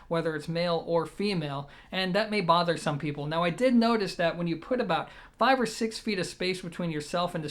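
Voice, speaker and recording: A man with a notably high-pitched voice talks through a gear review for his viewers. His delivery is conversational and methodical, taking one point at a time as he explains what a product offers.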